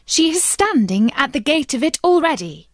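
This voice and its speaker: A woman's voice: high-pitched, big pitch swings, moderate pace, dry recording, clean studio sound, voice pushed up. Woman acting a curt magical storybook fish. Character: magical male fish granting wishes, curt.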